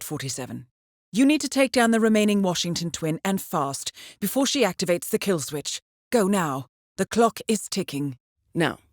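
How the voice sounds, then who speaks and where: An actress playing a composed, dryly witty intelligence handler in a video game, with a formal, precise, understated way of briefing. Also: female voice, mid-range voice, dry recording, clean studio sound. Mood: urgent, controlled.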